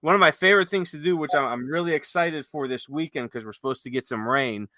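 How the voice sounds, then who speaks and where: A man's voice: on the high side, fast talker, pushed and bright, dry recording. A man co-hosting a podcast in casual, enthusiastic, rambling explanations, sometimes reading from notes. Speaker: podcast co-host. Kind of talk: casual chat with some read-aloud notes.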